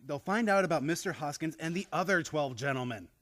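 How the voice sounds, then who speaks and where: A man's voice: fairly high pitch, expressive, a little fast, a bit bright. A man playing a frantic, exasperated nephew in a live old-time radio play, flustered and stammering. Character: frantic, exasperated nephew trying to control chaos.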